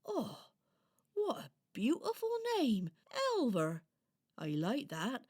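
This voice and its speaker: A woman voicing a sleepy, kindly hedgehog in a children's radio play, with dramatic, drawn-out exclamations. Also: medium pitch, wildly swooping pitch, a little mellow, slightly rough, pitched below the performer's own voice, heavily acted. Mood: kindly, welcoming.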